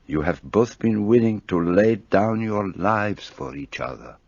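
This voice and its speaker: A man's voice: lowish voice, expressive, a little slow, darkish tone, no echo, lo-fi sound. An actor playing a shrewd, fussy Belgian detective in a radio play, speaking precisely and courteously as he lays out his reasoning. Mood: gentle.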